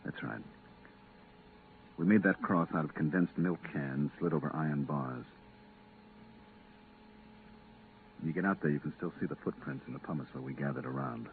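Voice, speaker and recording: An actor playing a weary, blunt veteran at a moon research station, firm and curt, in 1950s radio drama style. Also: male voice, rumbling low, brisk. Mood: grim. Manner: firm, curt, grimly insistent. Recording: tinny, band-limited, hissy 1950s radio recording.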